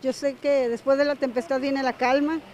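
A woman's voice: sits high, little pitch movement, normal speed, darkish tone, a little hiss or hum. A woman speaking upbeat and encouraging into a reporter's mic on a sidewalk. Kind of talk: street interview. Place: outdoors on a sidewalk. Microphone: handheld reporter mic.

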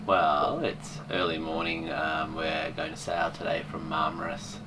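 A man's voice: extremely low pitch, measured pace. A man giving a casual, unscripted sailing video diary. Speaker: sailing vlogger. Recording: distant mic, steady background hum.

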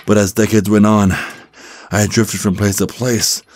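Man playing a deep-voiced, supportive werewolf gym bro. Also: level tone, measured pace, darkish tone, a little hiss or hum, natural voice. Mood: straining, encouraging. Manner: hesitant, stammering, encouraging. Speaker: voice actor in an ASMR roleplay. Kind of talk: ASMR roleplay, one-sided conversation.

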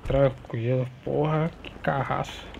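A man's voice: medium pitch, fairly even intonation, slow, creaky, darkish tone, lo-fi sound, a little hiss or hum. A man from Brazil streaming a roleplay game, chatting in laid-back slang and talking to his viewers. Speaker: gaming streamer. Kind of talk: roleplay gameplay chat.

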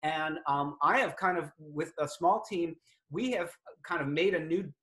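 Lexicographer type, middle-aged, Boston accent, slightly high voice, thoughtful, on a video call. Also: male voice, fairly bright tone. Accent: Boston, non-rhotic. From Greater Boston. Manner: articulate, measured, with frequent fillers. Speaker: lexicographer guest. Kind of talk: thoughtful conversational explaining. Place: home study with bookshelves.

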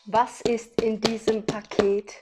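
A woman's voice: a little high, little pitch movement, slow, darkish tone, a little hiss or hum. Chatty woman vlogging. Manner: chatty, laughs between remarks; explains items one by one to viewers. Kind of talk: casual product haul vlog.

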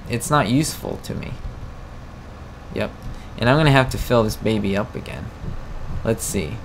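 A man's voice: mid-range voice, a little hiss or hum. A man giving casual, self-mocking commentary while playing a farming game, chatting to his viewers. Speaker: gameplay commentator. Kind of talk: casual let's-play commentary.

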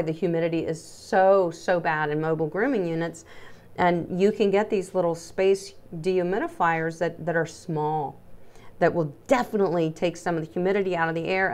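A middle-aged woman presents a casual how-to vlog in a slightly low-pitched voice. She is chatty and emphatic, and she addresses her viewers directly. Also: smooth. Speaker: dog groomer presenting salon setup.

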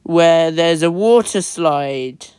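A man hosting a family building game, chatting along in a casual, upbeat way with quick asides. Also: a little high, darkish tone, clean tone, dry recording.

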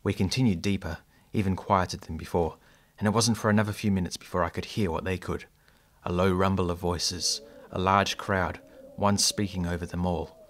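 A man playing an earnest adventurer, narrating his tale in a measured, descriptive audio drama style. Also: deep voice, steady tone, a bit bright. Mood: measured, suspenseful. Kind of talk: scripted first-person storytelling.

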